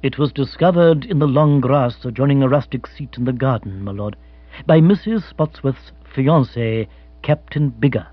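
A man voicing a grave, formal valet who speaks in a measured, deferential way. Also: medium pitch, no room sound, lo-fi sound, close to an everyday voice. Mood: composed, deferential.

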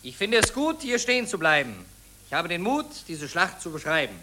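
An actor playing an eager, self-satisfied war correspondent in a satirical radio drama, speaking in rhyme. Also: male voice, sits high, very animated, normal speed, bright, forceful tone, a little hiss or hum, exaggerated voice. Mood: self-satisfied excitement.

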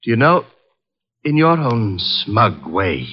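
An actor playing a vain, nervous stage actor in a 1940s radio drama, with an affected, easily flustered, theatrical manner. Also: male voice, fairly low pitch, big pitch swings, slow pace, husky, full character voice. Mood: indignant, accusing. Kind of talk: melodramatic 1940s radio acting. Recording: muffled old 1940s radio recording.

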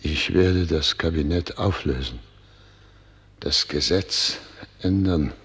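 A man's voice: a bass voice, slow, fairly bright tone, heavily acted. An actor playing a solemn, disillusioned ruler who addresses his ministers in a grand, rhetorical radio drama style. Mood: resolute. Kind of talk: theatrical radio drama oratory. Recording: echoey hall, reverberant studio radio drama.

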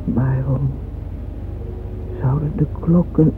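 A grieving old woman speaks very slowly, halting with anguish as in a classic radio play. The sound is dull and narrow, with faint music behind her. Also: extremely low pitch, fairly even intonation. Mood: wistful, confused. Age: older. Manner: halting, anguished, dramatic monologue. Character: grieving weary old woman mourning a beloved friend.

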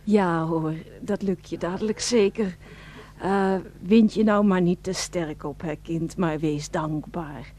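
An actress playing a schoolmarmish presenter, polite but bossy, narrating a satirical radio play. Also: female voice, on the low side, slow pace, warm tone. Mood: soothing, preachy. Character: brisk, schoolmarmish female presenter, easily exasperated.

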